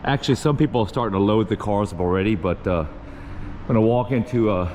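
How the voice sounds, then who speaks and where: Hoarse, raspy man walking a car show. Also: lowish voice, fairly quick, darkish tone. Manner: chatty, folksy, addresses viewers as folks. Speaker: vlog host. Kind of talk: casual walk-around vlog. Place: car show halls and grounds, indoors and outdoors. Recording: noisy venue background.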